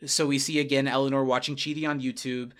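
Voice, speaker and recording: A man's voice: mid-range voice, level tone, fast, a bit bright. Chatty man recapping a TV episode. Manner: rambling, retells scenes with quoted bits. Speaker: podcast host. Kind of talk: casual TV recap chat.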